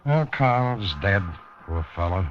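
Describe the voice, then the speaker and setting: Obliging small-factory manager, low voice, folksy and musing, faintly noisy vintage radio recording. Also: male voice, expressive, slow pace, dull audio. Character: helpful, unhurried male small-factory manager checking records. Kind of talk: 1950s radio drama acting.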